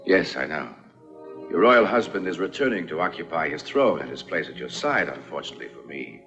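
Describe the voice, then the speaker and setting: A man acting a cocky, gravelly nobleman swordsman. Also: rumbling low, sing-song, a little fast, highs cut off, over music, full character voice. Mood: yearning, flirtatious. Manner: cocky, theatrical, courtly. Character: boastful, flirtatious nobleman swordsman, the king's nephew. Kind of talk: dubbed period adventure film acting.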